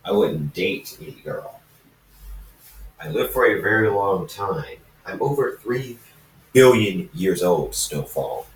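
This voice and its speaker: A man acts out an ancient immortal hybrid from a fan story, brooding and defensive. The voice is lowish and halting, with slow phrases, in an echoey room. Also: animated. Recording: roomy echo, distant microphone.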